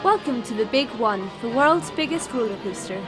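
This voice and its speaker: A woman's voice: a little high, lively intonation, moderate pace, close to an everyday voice. A woman acting as a polished theme park announcer, reading a scripted ride announcement that hypes the thrills. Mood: promotional. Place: recording studio. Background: loud music.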